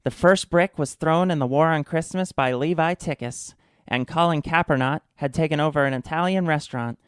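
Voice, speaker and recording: Wry, smooth-voiced actor as mock-grave storyteller. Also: male voice, on the high side, normal speed, no room sound, clean studio sound, lightly acted. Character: wry mock-serious storyteller of a satirical war. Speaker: narrator. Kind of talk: scripted radio drama narration.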